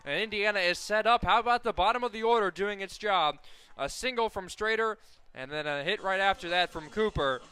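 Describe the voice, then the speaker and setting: An American man calling live softball play-by-play as a radio announcer, conversational and ready to lift with the action. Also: high voice, fairly quick, hardly any pauses, clear, resonant tone. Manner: conversational between plays, excited calls on action.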